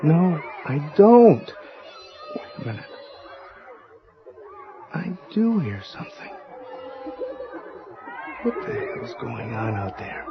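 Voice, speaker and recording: A man's voice: fairly high pitch, muffled recording, heavily acted. An actor playing an irritable husband and struggling novelist, exasperated and stammering as he grows rattled, in a radio horror drama. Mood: testy, puzzled.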